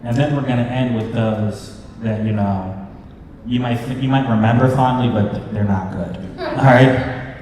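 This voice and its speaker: A man in his thirties presents a panel from far off in a big, very echoey hall. His pitch is average and his talk is loose and joking, with clear noise around him. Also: moderate pace, darkish tone. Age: 30s. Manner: joking, informal. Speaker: panel co-host, movie reviewer. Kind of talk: casual convention panel presentation. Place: convention panel room with audience, indoors.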